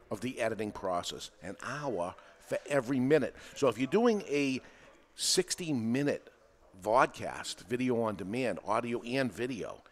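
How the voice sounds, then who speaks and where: A male podcast host with a New England accent, recorded live in a podcast studio. He talks in a plain, energetic way and drives each point home. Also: mid-range voice.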